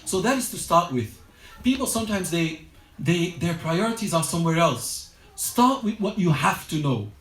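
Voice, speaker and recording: A man's voice: fairly high pitch, sing-song, normal speed, a bit bright. A man giving a religious lecture to a study circle, earnest and exhortative, often restarting his sentences. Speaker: religious teacher. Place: lecture room. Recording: roomy echo.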